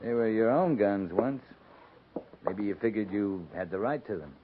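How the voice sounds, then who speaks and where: An American man playing a firm, fair-minded cavalry captain, measured and plain-spoken, in a 1950s radio western. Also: fairly low pitch, expressive, background noise, lightly acted. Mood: probing, polite. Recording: muffled, band-limited 1950s radio recording.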